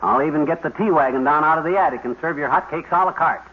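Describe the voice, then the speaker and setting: A man's voice: fairly high pitch, fast talker, natural voice. A man playing a blustering show-off husband on 1950s radio. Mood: eager. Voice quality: blustery, malaprop-prone. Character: boastful bumbling middle-aged husband, eager show-off. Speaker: radio sitcom lead. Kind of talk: 1950s radio sitcom acting. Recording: tinny hissy 1950s radio broadcast.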